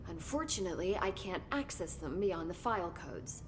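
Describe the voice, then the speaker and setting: An actress playing a curious, analytical government researcher in a video game, inquisitive and articulate. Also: female voice, lowish voice, big pitch swings, moderate pace, noisy background. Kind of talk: video game cutscene acting.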